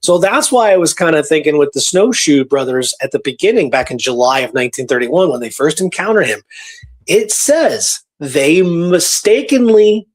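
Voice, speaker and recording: Guy hosting a radio show, voice a bit high, chatting through an old case, animated and conversational. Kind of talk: casual storytelling discussion of a historical case.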